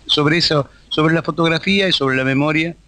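A man speaking haltingly as an interview guest in casual conversation. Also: on the high side, sharp tone. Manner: halting, hesitant phrasing.

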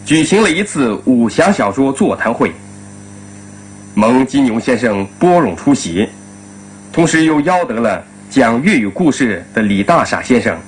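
A man, formally hosting a radio panel in a measured, courteous way. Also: mid-range voice, rough recording. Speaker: radio host moderating a panel. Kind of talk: formal announcing, moderating a discussion. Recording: old radio recording, faint hum.

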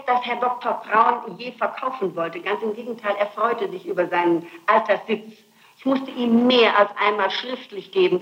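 Woman suspect, higher-pitched, insistent and repetitive under questioning, reverberant archival room tape. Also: pushed and bright. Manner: insistent, repeats herself in emphatic denial. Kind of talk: spontaneous answers under interrogation. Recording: echoey archival interrogation tape.

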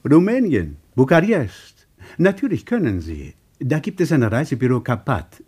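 An actor playing an affable but evasive Soviet official in a radio play, with an obliging manner. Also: male voice, mid-range voice, very animated, normal speed, darkish tone, breathy edge.

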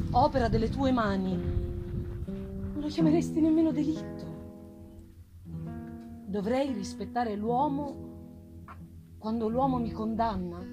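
A woman's voice: on the high side, voice pushed up. A woman voicing a wretched, vengeful male monster, pleading eloquently in a solemn, theatrical gothic reading. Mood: bitter, threatening. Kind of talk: dramatic gothic novel reading. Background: live plucked guitar music.

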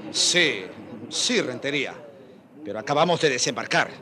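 An actor in a radio drama playing a calm, reasonable Spanish chaplain, with crowd sound effects behind. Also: male voice, mid-range voice, moderate pace, lightly acted. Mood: calm, reasoning.

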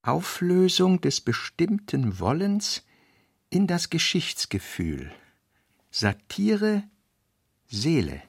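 A man narrating literary notes for a radio play in a deliberate, fragmentary way, weighting key words. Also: a little high, wildly swooping pitch, creaky, slightly rough, no room sound, clean studio sound. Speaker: narrator reading a novelist's working notes. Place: dry studio.

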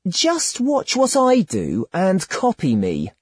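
A reader voicing a sly, lustful male hermit who talks slowly in a coaxing, pious-sounding way. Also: mid-range voice, huge pitch swings, husky, no echo, studio quality, voice pushed up, exaggerated voice.

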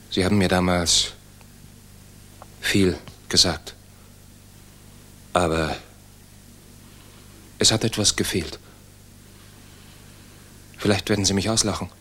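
An actor playing an idealistic, disillusioned young German officer in a 1960s radio play, earnest, halting and intense. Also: male voice, sits low, pushed and bright, full character voice. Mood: hushed, sincere.

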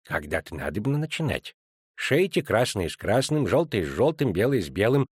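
A man's voice: lowish voice, big pitch swings, moderate pace, slightly rough, dry recording. A man voicing a sardonic male field surgeon who lectures his assistants in a dry tone. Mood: dryly lecturing.

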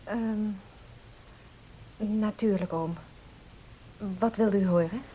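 An actress playing a polite, devout young niece in a radio play. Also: female voice, medium pitch, takes it slowly, dull audio, lightly acted. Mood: polite, earnest. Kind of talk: Dutch radio play acting. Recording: hissy old radio recording.

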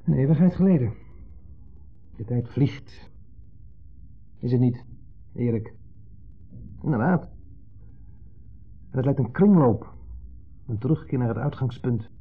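A smug ex-husband in an old radio drama, needling and theatrically jovial. His voice is husky and fairly low, with insinuation under the pleasantries. The recording is muffled and narrow, with faint music. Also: expressive, unhurried, pauses often. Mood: nostalgic, needling. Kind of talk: 1970s Dutch radio drama acting.

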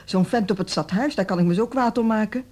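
An actress playing a fervent, principled music teacher in a radio drama, with insistent, indignant delivery. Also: female voice, medium pitch, fast, darkish tone, close to an everyday voice.

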